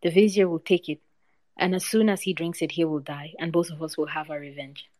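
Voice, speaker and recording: A woman voicing a wise, fatalistic male snake king in a read-aloud folk tale, with a measured, solemn delivery. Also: deep voice, steady tone, a little mellow, dry recording, natural voice. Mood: grave.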